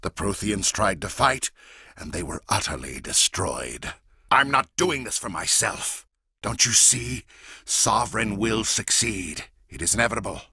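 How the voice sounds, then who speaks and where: A man voicing a menacing, fanatical alien warlord for a video game, gravelly and raspy, with a grandiose, deliberate, intense delivery. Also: lowish voice, expressive, sharp tone, no room sound, clean studio sound, full character voice. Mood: cold, ominous. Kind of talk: dramatic video game voice acting.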